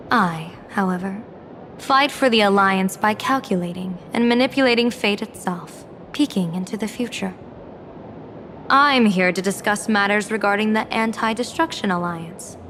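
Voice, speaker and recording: A woman voicing an enigmatic, composed general and diviner in a video game, speaking in measured, formal, oracular phrasing. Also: mid-range voice, a bit bright, a little hiss or hum, close to an everyday voice. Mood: confident. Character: enigmatic, composed female general and fortune-teller of fate. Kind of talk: scripted video game voice acting. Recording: music under the voice.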